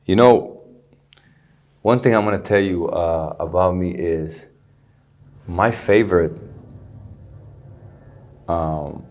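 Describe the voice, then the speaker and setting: Deep-voiced man telling his story. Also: takes it slowly, pauses often, a little mellow. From Somalia. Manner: earnest, candid, sprinkles Arabic phrases. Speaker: guest, recovery center founder. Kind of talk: personal storytelling interview. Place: studio.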